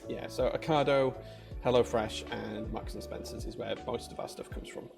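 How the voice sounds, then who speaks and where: A man's voice: mid-range voice, fairly even intonation, moderate pace, gravelly, over music. A married man with a Yorkshire accent, chatting casually and rambling with viewers during a livestream from his workshop. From Yorkshire, England. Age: adult, married. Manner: chatty, rambling, many fillers and restarts. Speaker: maker livestreamer.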